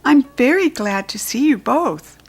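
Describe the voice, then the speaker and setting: A woman voicing a cheerful little female toy fairy, speaking brightly and eagerly. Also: sits high, expressive, measured pace, slightly rough, voice pushed up, exaggerated voice.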